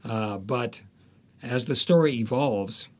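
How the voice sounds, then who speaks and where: A man speaking as an interviewed author, telling anecdotes conversationally and pausing to find his words. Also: mid-range voice, a little mellow.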